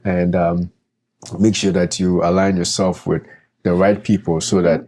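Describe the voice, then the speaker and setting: A man with a very low, mellow voice talks through practical advice in a relaxed podcast chat. He speaks as a doctor, hedging with fillers and restarting his sentences as he goes. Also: a little slow. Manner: conversational, many fillers and restarts. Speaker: doctor and co-host.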